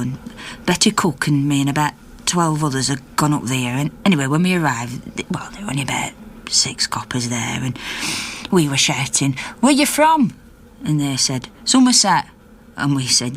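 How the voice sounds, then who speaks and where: A feisty middle-aged miner's wife with a Yorkshire accent and a lowish voice. She tells her story indignantly and conversationally, mimicking each exchange as in a radio drama, over clearly audible background noise. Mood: wry recounting. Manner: animated, conversational storytelling, acting out dialogue. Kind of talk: naturalistic radio drama monologue.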